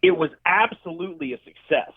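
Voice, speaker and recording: A man who directs films, a guest telling stories in a casual interview, animated and swearing freely. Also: fairly high pitch, brisk, breathy edge, dry recording. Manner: animated, profane storyteller. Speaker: film director and effects artist, guest. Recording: dry tinny phone-like line.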